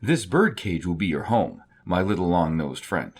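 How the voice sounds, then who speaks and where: Storybook villain, acted by a man: bombastic showman puppet master, swooping pitch, rough edge. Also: very animated, moderate pace, full character voice. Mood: menacing. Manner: loud, theatrical.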